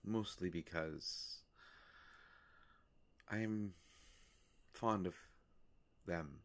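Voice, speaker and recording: A man playing a courteous, melancholy vampire in a roleplay audio drama, speaking formally and hesitantly with long pauses and a gravelly voice. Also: heavily acted. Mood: wistful, earnest. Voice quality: gravelly, creaky low voice. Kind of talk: scripted roleplay audio drama addressed to the listener.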